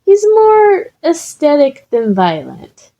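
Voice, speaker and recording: A woman voicing an eager, nerdy teenage boy in a story reading, earnest and excitable. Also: slow, heavily acted. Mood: bright, earnest. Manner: earnest, excitable, high-pitched.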